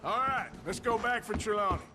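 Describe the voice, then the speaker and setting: An actor playing a tough, wry Western outlaw with a gruff, folksy American drawl in a video game cutscene. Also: male voice, high-pitched, a little fast, close to an everyday voice. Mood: easygoing, wry. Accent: American, rural Western drawl. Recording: music behind the voice.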